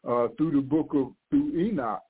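A man's voice: a little high, moderate pace, breathy edge. A man in his sixties, a pastor preaching live on radio, congested from the flu, fervent and often repeating and restarting his phrases. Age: 60s. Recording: narrow phone-like radio sound.

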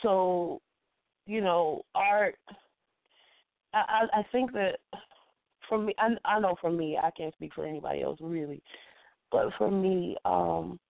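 A congested woman recovering from the flu, speaking deliberately and reflectively as an interview guest who is a poet and educator. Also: on the low side, fairly even intonation, vocal fry, dry recording. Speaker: featured guest, spoken word poet and educator. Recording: muffled phone-quality call-in line.

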